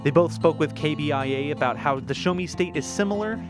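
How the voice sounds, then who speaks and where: A man hosting a radio podcast, reading scripted narration in a measured, clear delivery. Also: on the high side. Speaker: podcast host. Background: guitar music.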